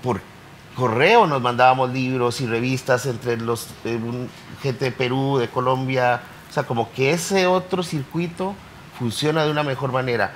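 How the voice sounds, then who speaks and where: A man's voice: mid-range voice, steady tone, normal speed, slight background noise. A man who teaches poetry workshops, talking hesitantly on a literature panel. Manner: hesitant, many fillers and restarts.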